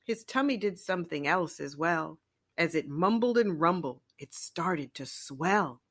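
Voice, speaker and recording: A woman storyteller reading a children's picture book aloud in an expressive narrating style. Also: on the low side, measured pace.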